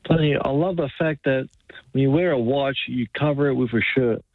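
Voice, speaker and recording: A man's voice: medium pitch, fairly quick, dry recording. Blunt man on a tinny phone line. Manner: blunt, argumentative, loud at times. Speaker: caller.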